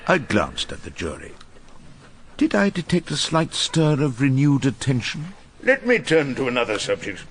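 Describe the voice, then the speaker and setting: An actor playing a wily, irascible older English defence barrister in a radio drama, theatrical, probing and dryly ironic. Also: male voice, mid-range voice, wildly swooping pitch, moderate pace, fairly bright tone, slight background noise. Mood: wry narration. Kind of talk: radio drama courtroom acting and narration.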